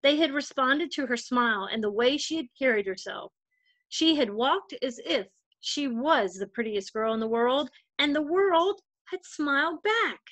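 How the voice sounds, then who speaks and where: A woman's voice: sits high, lively intonation, measured pace, a bit bright, no room sound. A woman narrating a children's storybook as a volunteer storytime reader.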